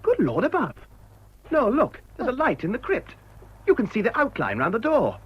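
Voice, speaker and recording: An actor playing a wry, educated village vicar, exclaiming and droll in a British radio drama. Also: male voice, extremely high pitch, wildly swooping pitch, moderate pace, slightly rough, full character voice. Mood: alarmed. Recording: dull, noisy radio recording.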